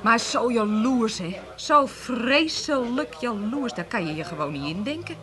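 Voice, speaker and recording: Feisty, bright-voiced young Amsterdam woman. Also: on the high side, expressive, moderate pace. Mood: downcast, exasperated. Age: young adult. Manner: sassy, colloquial. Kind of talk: 1980s radio comedy acting.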